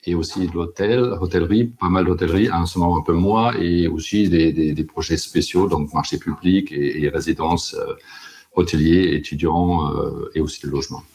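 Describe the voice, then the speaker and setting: Low-pitched German-accented architect, casual panel guest, friendly and modest. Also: male voice, steady tone, moderate pace, a little mellow. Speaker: architect, agency head. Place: office.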